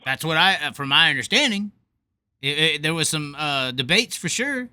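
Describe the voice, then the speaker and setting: A man's voice: a little high, normal speed, clear, resonant tone. A man hosting a sprint car racing call-in show, talking in a fired-up, repetitive, emphatic way. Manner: animated, repeats himself, fired up and emphatic. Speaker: podcast host. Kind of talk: casual opinionated call-in chat.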